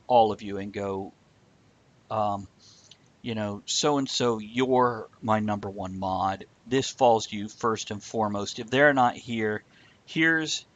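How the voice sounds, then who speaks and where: A man's voice: fairly low pitch, a bit bright. A man hosting a live stream in casual, rambling chat, blunt and joking with some swearing.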